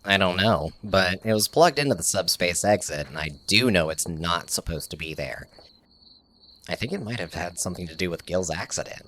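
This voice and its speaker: A man's voice: low-pitched, animated, moderate pace, sharp tone, slight background noise. A man playing an eager, nerdy tech tinkerer in an audio comedy, explaining things in a casual, slightly awkward way. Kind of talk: audio sitcom acting.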